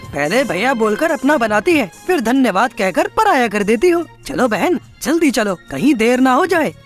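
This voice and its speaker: A woman's voice: on the high side, very animated, moderate pace, soft background music. A woman voicing a caring, protective male bird neighbour in an earnest, reassuring manner for a children's cartoon.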